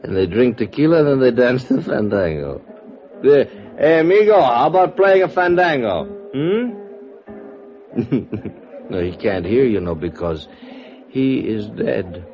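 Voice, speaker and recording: An actor playing a middle-aged, bitter, theatrical drunk with a florid, rambling way of talking, in 1950s radio drama style. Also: male voice, medium pitch, lively intonation, unhurried, pauses often. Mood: tipsy, florid. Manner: tipsy, rambling, florid, chuckling. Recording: muffled old radio recording, music behind. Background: music.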